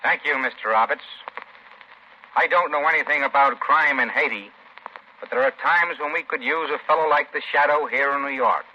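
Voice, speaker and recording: A man's voice: a little high, moderate pace, bright, forceful tone, slight background noise. An American man giving courteous, formal scripted remarks as an official guest on 1930s radio. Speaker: guest, district attorney official. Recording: muffled 1930s radio broadcast.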